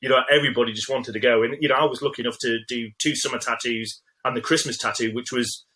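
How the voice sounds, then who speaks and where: A man talking quickly and brightly as a podcast co-host. He rambles a little, restarting his sentences and leaning on fillers as he goes. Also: medium pitch, steady tone, low-quality recording. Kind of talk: casual interview chat.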